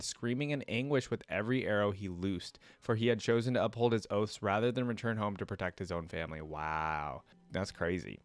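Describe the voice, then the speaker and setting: A man hosting a reaction video, reading aloud and pausing to comment and recap casually. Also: fairly low pitch, no echo. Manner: pauses to react and recap in plain words.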